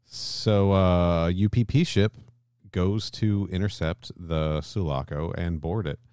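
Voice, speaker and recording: A man's voice: low voice, steady tone, slow pace, a little mellow, clean tone, no room sound, studio quality. A man co-hosting a podcast in a casual discussion style, swearing freely and often checking in with questions. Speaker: podcast co-host.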